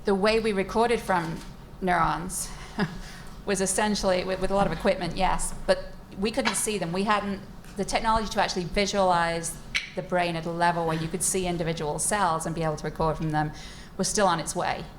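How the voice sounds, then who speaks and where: A woman's voice: fairly low pitch, steady tone, a little fast, a little hiss or hum, rough recording. A woman scientist speaking on a panel at a live event, enthusiastic and chatty, laughing easily as she tells stories from her work. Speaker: neuroscientist panelist. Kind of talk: panel discussion talk. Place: event space with live audience.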